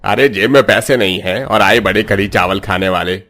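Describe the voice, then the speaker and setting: A man voicing a gruff, brusque street food vendor in a cartoon story. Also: on the low side, normal speed, bright, forceful tone, soft background music. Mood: brusque, scolding.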